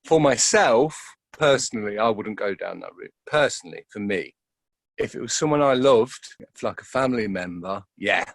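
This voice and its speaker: Low-voiced man, halting and thoughtful speaker. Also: animated, vocal fry, no room sound. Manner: hesitant, halting, repeating words as he thinks aloud. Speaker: interviewed actor. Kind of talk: conversational interview answers.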